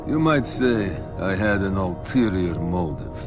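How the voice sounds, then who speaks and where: A man's voice: low-pitched, animated, unhurried. A man playing a bitter, defiant ex-enemy soldier in a military video game, with a sardonic manner. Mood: grim narrating. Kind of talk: military game cutscene acting and narration. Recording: music behind the voice.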